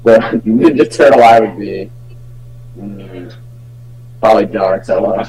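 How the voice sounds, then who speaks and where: Slow, low-voiced sarcastic young man. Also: warm tone, rough recording. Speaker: podcast co-host. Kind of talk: casual group chat. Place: away from home, on a group call. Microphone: makeshift, not usual microphone.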